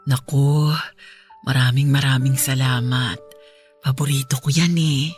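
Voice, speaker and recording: An actress playing a weak, gentle, ailing Filipino nanny in a radio drama, weary and resigned. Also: female voice, rumbling low, fairly even intonation, a little slow, soft background music. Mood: weakly grateful.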